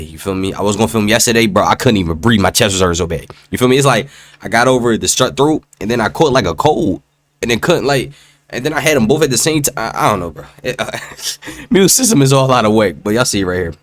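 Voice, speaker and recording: A young man streaming his reaction to a video in slangy, casual talk, his voice still hoarse from a sore throat. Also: on the low side, lively intonation, fast, fairly bright tone, dry recording. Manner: slangy, loose, talks straight to viewers. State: hoarse, voice recovering from strep throat.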